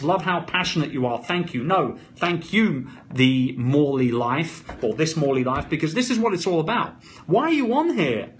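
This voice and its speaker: A man with a London British accent live-streaming off the cuff, talking emphatically in short, punchy, motivational bursts. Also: on the high side. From London, England. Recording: noisy live phone stream.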